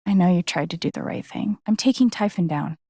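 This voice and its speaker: A woman's voice: fairly low pitch, lively intonation, a little fast, mellow, no echo, clean studio sound. An actress playing an earnest, caring and determined young woman in naturalistic, conversational video game dialogue. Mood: friendly, earnest. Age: young adult.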